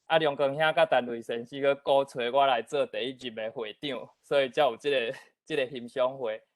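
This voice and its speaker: 台湾口音的男主持人，声音干净少回音. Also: male voice, medium pitch, fairly even intonation, fairly quick, no echo. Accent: Taiwanese. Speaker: outgoing host of an online literature event. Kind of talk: informal opening remarks at an online meeting. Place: online video meeting.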